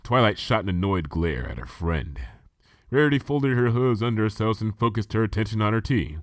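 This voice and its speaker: A man's voice: lowish voice, animated, a little fast, dry recording, studio quality. A man reading a story aloud as narrator, in a scripted storytelling style. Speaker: narrator of a fan fiction reading.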